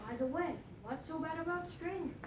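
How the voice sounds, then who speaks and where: A woman's voice: very high voice, fairly quick. A woman voicing a friendly, clever, weary traveler in a puppet show, recorded from across an echoey room. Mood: weary, polite. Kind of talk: puppet show read from a script. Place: echoey indoor meeting room at a library. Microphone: far camera microphone. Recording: distant mic, echoey room, noisy background.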